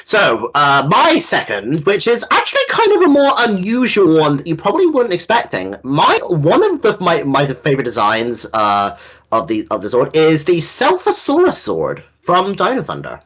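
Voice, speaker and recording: A man co-hosting a fan countdown in casual geeky chat, jokey and giggly, with halting phrasing. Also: fairly high pitch, wildly swooping pitch, fairly bright tone, breathy edge. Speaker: co-host of a fan countdown video.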